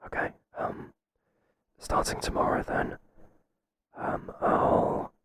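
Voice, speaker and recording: A man acts out a kindly, unassertive boss in an intimate ASMR roleplay. He speaks in a breathy whisper, halting and hesitant, as if unsure how to answer. There is some faint noise behind the voice. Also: close to an everyday voice. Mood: hesitant, accommodating. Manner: hesitant, soft-spoken, halting. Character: mild, hesitant, kindly male workplace boss, flustered by flattery.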